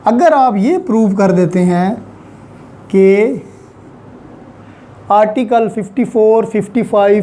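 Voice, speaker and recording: A woman's voice: medium pitch, warm tone. A woman with a Pakistani accent lecturing as a law teacher, explaining methodically in short phrases and repeating key points. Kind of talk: explanatory lecture reviewing legal articles.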